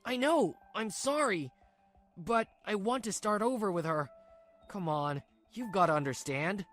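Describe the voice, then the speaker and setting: A man's voice: high-pitched, animated, unhurried, a bit bright, soft background music. A man playing a dreamy, selfish younger husband in a manga dub, earnest and whiny as he pleads. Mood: insistent. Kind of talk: dramatic manga dub dialogue.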